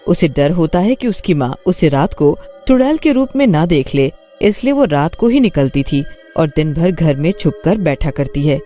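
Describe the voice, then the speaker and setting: A man narrates a cartoon story from a script. His voice is mellow and relaxed, with soft music playing underneath. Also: on the high side, moderate pace, few pauses.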